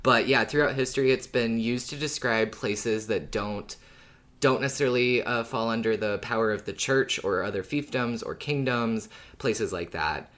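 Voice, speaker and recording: A man's voice: mid-range voice, moderate pace, fairly bright tone. A man co-hosting a podcast, explaining things informally with conversational asides. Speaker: podcast co-host.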